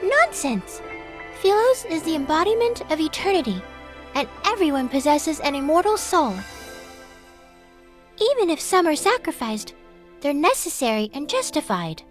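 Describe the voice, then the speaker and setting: A woman's voice: sits high, sing-song, normal speed, pauses often, faint music behind, voice pushed up. A woman voicing a naive, devout young female revenant in a fantasy game, earnest, formal and exclamatory. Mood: indignant.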